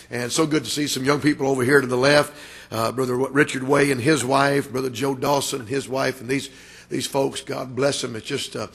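A man preaching a revival sermon with a raspy voice, building to loud, emphatic, drawn-out delivery. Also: mid-range voice. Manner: rises to loud emphatic preaching, stretched words. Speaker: guest preacher.

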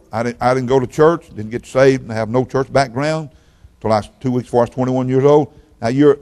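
A man's voice: medium pitch, a little mellow. An older man preaching as a visiting pastor, folksy and rambling, often repeating his words. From Oklahoma, raised in Texas. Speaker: guest pastor. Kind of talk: sermon.

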